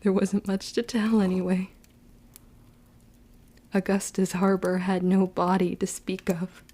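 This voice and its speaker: A woman acting a wounded, vengeful young witch who narrates her own story in an audio drama, with deliberate, emotive delivery. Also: mid-range voice, flat delivery, dark tone, natural voice. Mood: sorrowful, guilty.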